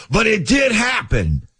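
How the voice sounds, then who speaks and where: A man putting on an overexcited male rock-radio DJ making a prank call, with shouty, falsely cheerful patter and a husky voice. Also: high-pitched, huge pitch swings, slow, no room sound, clean studio sound, voice pushed up, heavily acted. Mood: prying. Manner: hyped, shouty announcer patter.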